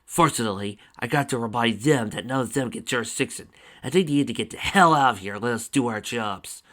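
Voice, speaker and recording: A man's voice: mid-range voice, a little fast, a bit bright, close to an everyday voice. A man voicing an irritated, foul-mouthed law officer in a story reading. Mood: irritated, scornful.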